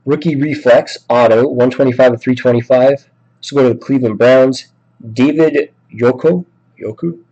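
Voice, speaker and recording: A man hosting a sports card break, a bit sick with a raspy voice, listing names and teams and chatting casually. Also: medium pitch, normal speed, dark tone, dull audio. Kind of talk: live card break commentary.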